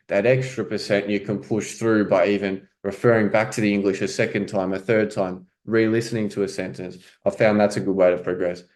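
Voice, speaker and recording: Man coaching, fairly low voice. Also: darkish tone. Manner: explanatory, repeats "right?" and "okay?". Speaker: French coach. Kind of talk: casual teaching commentary.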